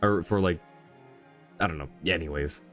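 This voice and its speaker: Male game streamer, low-pitched, casual commentary and chat, light music underneath. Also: moderate pace, a bit bright. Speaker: game livestreamer. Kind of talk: casual livestream commentary, chatting with viewers.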